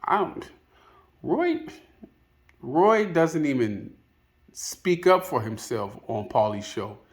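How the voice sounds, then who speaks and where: A man's voice: medium pitch, a bit bright. A man giving casual, unscripted opinion commentary as a video host, rambling and hesitant, often padding with filler phrases. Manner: hesitant, rambling, peppered with "you know".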